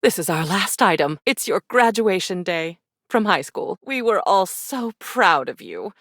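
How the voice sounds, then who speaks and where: A woman's voice: medium pitch, big pitch swings, unhurried, fairly bright tone, no room sound, studio quality, full character voice. A woman playing an arch, darkly elegant embodiment of memories in a video game, drawling and teasing with endearments. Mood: fond, proud. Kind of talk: video game character acting.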